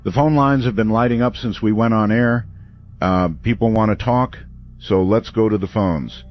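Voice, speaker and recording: A man playing a calm, measured late-night radio call-in host. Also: fairly low pitch, dull audio, soft background music, natural voice.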